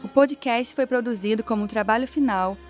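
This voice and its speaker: Woman presenting in a measured read. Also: a little high, faint music behind. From Brazil. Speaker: podcast presenter. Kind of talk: scripted narration.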